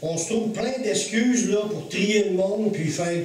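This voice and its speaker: A Québécois man preaching to his congregation, his voice sitting notably high for a man. He leans on key phrases, slowing down to stress them. He is recorded from a distance in a heavily echoing church hall. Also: a little mellow. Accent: Québécois French. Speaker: pastor. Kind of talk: sermon.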